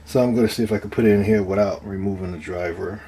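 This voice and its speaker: Man, slightly deep voice, casual hands-on demo, hesitant thinking aloud, light noise behind. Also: fairly even intonation, dark tone. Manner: halting, unscripted, thinking aloud. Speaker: DIY speaker builder presenting own project. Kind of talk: casual hands-on demonstration talk.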